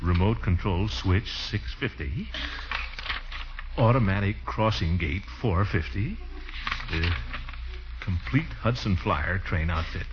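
A man's voice: deep voice, slow, pauses often. A man playing a jovial, blustery middle-aged uncle in a 1940s radio comedy, with hearty, chuckling delivery. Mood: dismayed. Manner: chuckling, hearty, exaggerated swoops. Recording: noisy, muffled 1940s radio recording.

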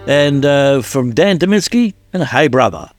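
A man's voice: medium pitch, big pitch swings. A man hosting a radio music countdown, chatting casually with lots of fillers and restarts. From New Zealand. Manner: rambling, halting with many fillers and restarts.

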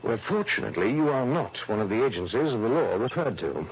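An actor playing a fussy, anxious insurance office manager in early 1960s radio drama, formal, precise and easily flustered. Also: male voice, medium pitch, big pitch swings, quick pace, lightly acted. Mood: formal, insistent. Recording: muffled, noisy old radio recording.

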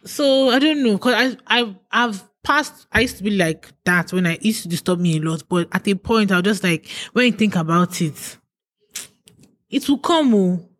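A woman's voice: mid-range voice, animated, normal speed. A woman speaking candidly in a casual chat, sharing her experience and reassuring the listener.

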